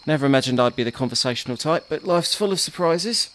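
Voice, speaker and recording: A man's voice: fairly high pitch, lively intonation, fast, a bit bright. A man playing a dry, sardonic detective. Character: Duggan, dry wry male detective. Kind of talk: audio drama acting.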